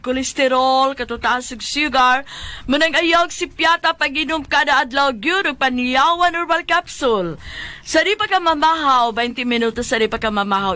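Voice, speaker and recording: A Cebuano woman delivers a radio advertisement. Her voice is high and very bright, and she explains directions in an instructive, repetitive way. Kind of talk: product advertisement read.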